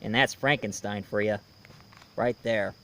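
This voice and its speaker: A man in his thirties giving a casual, unscripted review as the host, haltingly, often searching for words and repeating himself. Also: on the low side, fairly even intonation, fairly bright tone.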